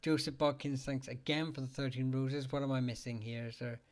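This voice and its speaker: A man hosting a live chat, talking haltingly with many fillers and restarts, his voice getting hoarse as he keeps clearing his throat. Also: medium pitch, little pitch movement. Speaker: livestream host answering surname questions. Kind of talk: casual live-chat talk.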